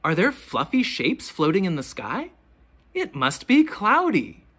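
A teacher reading a children's picture book aloud, with expressive, deliberate questions pitched to young children. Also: slightly rough. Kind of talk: children's storybook read-aloud.

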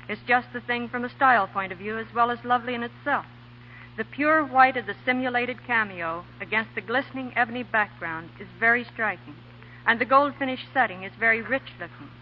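A woman presenting as a fashion editor on 1940s radio, reading a script formally and precisely. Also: on the high side. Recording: muffled, noisy 1940 radio broadcast.

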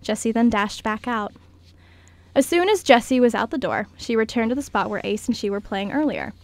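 A woman's voice: a little high, sing-song, brisk, no echo. A woman reading scripted story narration as the narrator of a radio skit.

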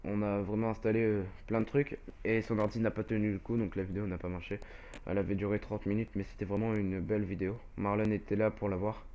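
Brief: low-pitched teen co-player on a voice call, calm and plain. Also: male voice, fast talker, lo-fi sound. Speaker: co-player and friend. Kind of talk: casual gaming chat.

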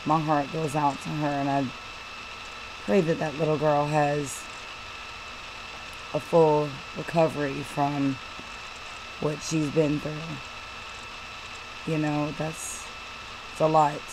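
A woman commenting on a news story, talking haltingly with many fillers and restarts. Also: deep voice, little pitch movement, noisy background. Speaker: channel host reading news and commenting. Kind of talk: casual commentary over a screen recording.

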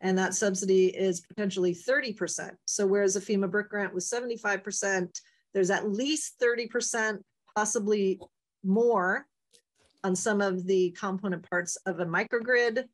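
A woman presenting a committee update to a town board over a video call, speaking in a clear, explanatory and upbeat way. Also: medium pitch, steady tone, normal speed.

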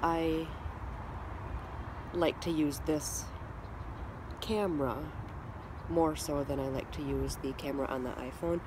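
A woman's voice: sits low, measured pace, pauses often, noisy background. Stuffed-up woman vlogging on a deck. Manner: rambling, many ums and restarts. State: stuffed up with a cold. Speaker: family vlogger. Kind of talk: casual vlog chat. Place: outdoor backyard deck. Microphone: handheld camera at arm's length.

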